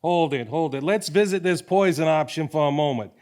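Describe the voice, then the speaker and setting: A man's voice: on the high side, lively intonation, a little fast, darkish tone, natural voice. A man playing a shady, folksy wheeler-dealer with sly, rambling patter in a comic stage audio play. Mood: conspiratorial. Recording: live theatre stage recording.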